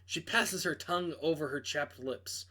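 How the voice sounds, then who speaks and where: Male reader, slightly high register, dramatic narration of a visual novel, a little prone to stumbles. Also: moderate pace, bright, forceful tone, husky. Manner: dramatic storytelling delivery, stumbles over words.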